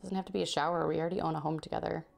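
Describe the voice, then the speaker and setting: A woman's voice: sits low, steady tone, very fast, vocal fry, soft background music. A woman in her thirties chatting casually with her stream while stitching, laughing often, with a slightly sore throat. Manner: chatty, laughs often, reads and answers chat. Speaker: cross-stitch livestreamer. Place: indoor home craft room.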